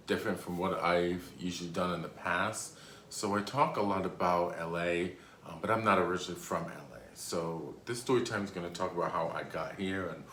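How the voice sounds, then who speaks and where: A man's voice: sits low, a bit bright. A man in his thirties from Rhode Island, telling a casual, rambling storytime with many hesitations. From Rhode Island, USA. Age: 30s. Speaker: YouTuber. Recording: echoey room, faint background noise.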